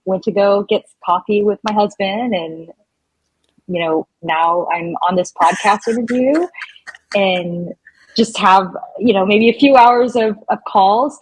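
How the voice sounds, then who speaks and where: Female interview guest, husky voice, dry close sound, informal delivery that thinks aloud. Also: medium pitch, dry recording, low-quality recording. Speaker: podcast guest. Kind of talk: casual interview chat.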